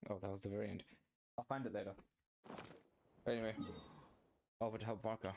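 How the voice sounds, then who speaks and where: A man's voice: lowish voice, fairly even intonation, brisk, darkish tone. A man giving casual gameplay commentary as a streamer, offhand, half talking to himself. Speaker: streamer reacting to a game.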